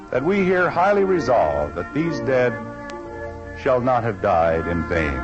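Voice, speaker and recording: An actor playing a grave statesman declaiming a historic address in 1950s radio style. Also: mid-range voice. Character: solemn orator reciting a historic presidential address. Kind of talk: 1950s radio dramatic oratory. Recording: dull old radio recording. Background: loud music.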